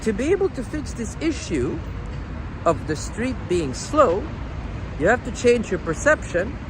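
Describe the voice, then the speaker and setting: A man's voice: sits high, sing-song, measured pace. A man from Sweden talking casually and emphatically as a vlog host, often repeating phrases, outdoors on a street. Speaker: dating coach and vlog host. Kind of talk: casual vlog talk addressed to viewers. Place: outdoors walking on city streets. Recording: noisy outdoor city street with traffic.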